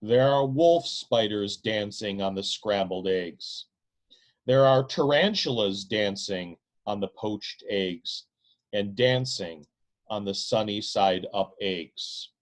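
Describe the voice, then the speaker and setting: A man reading his own novel aloud in a dramatic literary style, with deliberate, emphatic delivery and repeated phrases. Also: on the low side.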